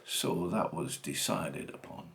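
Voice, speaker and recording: A man theatrically reciting comic verse with dramatic, deliberate phrasing. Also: low-pitched, vocal fry, fairly bright tone. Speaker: reader of a comic monologue poem.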